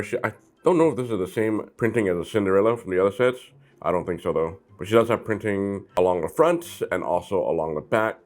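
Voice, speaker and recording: Male review host, lowish voice, informal and playful, chats directly to the audience. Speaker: host of a brick-set review channel. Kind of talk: casual chatty product review.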